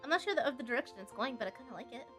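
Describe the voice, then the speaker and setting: Female game streamer, higher-pitched, chatty and reactive, reads story text aloud between muttered asides, music underneath. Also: lively intonation, rapid-fire, fairly bright tone. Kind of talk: casual game commentary, reading on-screen text aloud.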